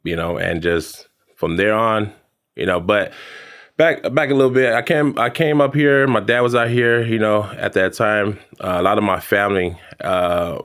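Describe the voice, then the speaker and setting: Podcast guest: man in his 30s, low voice, relaxed and halting with lots of fillers. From American Samoa. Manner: halting, full of fillers and restarts. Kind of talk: casual interview chat.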